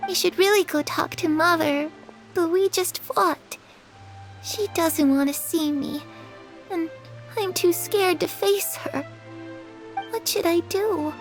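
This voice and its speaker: A woman's voice: very high-pitched, takes it slowly, pauses often, faint music behind, exaggerated voice. A woman voicing a lonely, fragile young girl in a video game, dreamy and hesitant. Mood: anxious, sad. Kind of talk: video game character dialogue.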